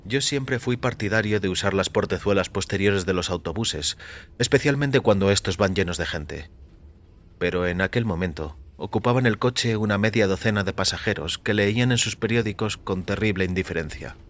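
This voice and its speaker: A man reading scripted first-person fiction as narrator, in a measured, composed manner. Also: fairly low pitch. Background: music.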